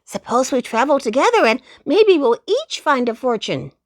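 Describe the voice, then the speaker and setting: A woman's voice: high voice, sing-song, normal speed, no echo, clean studio sound, voice pushed up. A woman voicing a small, friendly male circus poodle with an eager, exclamatory manner in a children's story. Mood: excited.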